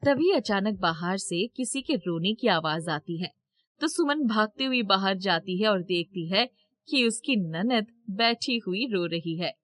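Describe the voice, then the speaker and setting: A woman's voice: medium pitch, lively intonation, measured pace, fairly bright tone. A woman storyteller reading scripted narration for a moral tale.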